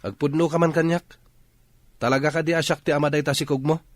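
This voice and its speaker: A man's voice: fairly high pitch, fairly even intonation, fast, noisy background, natural voice. A man playing a hesitant, defensive young student in a radio drama. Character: hesitant, doubting young male student facing fatherhood.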